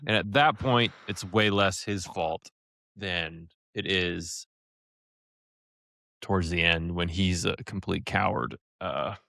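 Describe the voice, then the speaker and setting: A man co-hosting a casual podcast, relaxed and opinionated, often restarting his sentences. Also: deep voice, takes it slowly, vocal fry, no echo. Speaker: podcast co-host.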